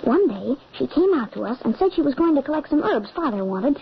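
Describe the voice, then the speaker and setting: A woman's voice: high-pitched, very animated, brisk, creaky, full character voice. A woman playing an anxious young boy who narrates a grim family tale in earnest, dramatic old-time radio style. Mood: foreboding. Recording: muffled, noisy old radio recording.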